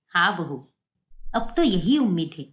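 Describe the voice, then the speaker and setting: A woman's voice: fairly low pitch, sing-song, unhurried, fairly bright tone, voice pushed down. A woman voicing an approving older mother-in-law in a moral cartoon. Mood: pleased, approving. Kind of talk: acted moral-story cartoon.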